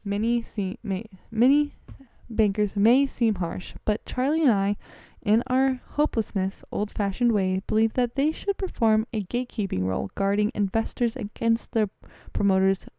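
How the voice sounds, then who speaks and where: Smooth, mellow-voiced woman reading aloud. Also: medium pitch, moderate pace, hardly any pauses, no room sound. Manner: stumbles over words and self-corrects. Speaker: vlogger reading a letter aloud.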